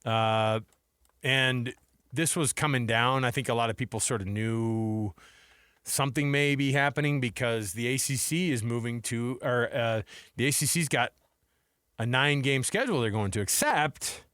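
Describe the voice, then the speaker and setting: A man's voice: mid-range voice, moderate pace, fairly bright tone, clean tone, no room sound. Casual sports radio guy, dry studio sound. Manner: conversational, says "man" and "dude". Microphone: close studio microphone.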